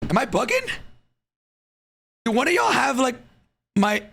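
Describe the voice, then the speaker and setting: A young man hosts a casual gaming podcast, hyping up his guests and stumbling over his words. His voice sits high and swings widely, with a gravelly, husky texture. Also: a little slow. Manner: excitable, hype introductions, frequent restarts. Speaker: podcast host.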